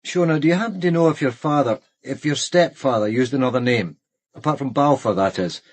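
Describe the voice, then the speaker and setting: A man's voice: medium pitch, brisk, close to an everyday voice. A man with a Scottish accent playing a dry, dogged police detective in a naturalistic radio crime drama. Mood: firm. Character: male police detective, dry, dogged, deferential to his boss.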